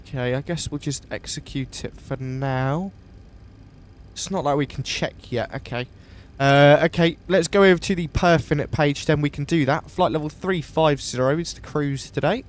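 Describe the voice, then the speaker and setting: A man with a sniffly voice from a coming cold, calmly thinking aloud step by step as he streams a flight simulator session. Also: on the high side, slight background noise. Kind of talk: casual live walkthrough of a flight simulator.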